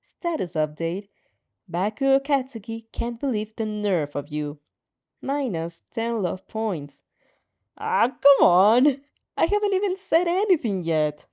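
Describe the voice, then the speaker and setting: Casting: female streamer, mellow and leisurely, stumbles and sighs through casual chat and story reading. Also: a little high, very animated, lo-fi sound. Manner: halting, stumbling over words, sighing and chuckling. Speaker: streamer reading fan fiction aloud. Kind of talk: casual livestream chat and expressive read-aloud with asides.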